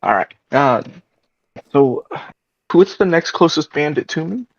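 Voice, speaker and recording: A man with a creaky voice speaks at an unhurried, slow pace as he plays a tabletop game. His talk is casual, and the recording is dry and dull, lacking detail. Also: on the high side, very animated, no room sound. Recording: dull, lo-fi microphone sound.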